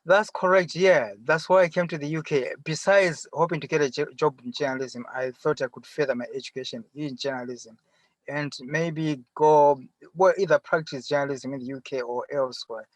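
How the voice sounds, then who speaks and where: A middle-aged man with a Zimbabwean accent answering interview questions conversationally from inside a car, often pausing and rephrasing. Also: a little high, fairly even intonation, no room sound, poor audio. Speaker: interview guest, farmer. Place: sitting in a parked car.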